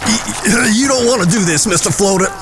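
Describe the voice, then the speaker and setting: A man playing a cornered crooked gambling boss in a western audio drama, gravelly, blustering and in pain. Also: high voice, brisk. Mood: pleading. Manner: blustering, pleading, pained. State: in pain, groaning.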